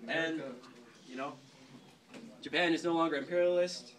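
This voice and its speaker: Cocky young man, higher-pitched, distant mic. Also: steady tone, fairly bright tone. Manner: cocky, flippant, halting. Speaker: storyteller host playing a cadet gunnery sergeant. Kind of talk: informal history storytelling to a small group. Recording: distant mic, clear background chatter. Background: several people chattering and interjecting.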